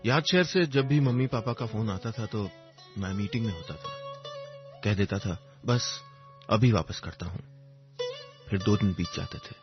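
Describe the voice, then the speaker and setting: A man narrating a scripted first-person story in a measured, reflective way, with short pausing phrases. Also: on the low side. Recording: dull lo-fi audio under music. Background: instrumental music.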